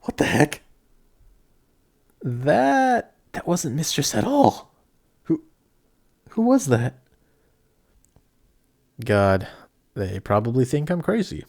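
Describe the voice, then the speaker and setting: A young man playing a kindhearted apprentice sorcerer in an audio roleplay, chatty, excitable and easily flustered, with some stammering. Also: fairly high pitch, takes it slowly, vocal fry, long pauses, heavily acted. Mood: flustered, awkward. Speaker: voice actor. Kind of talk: amateur audio roleplay acting addressed to the listener.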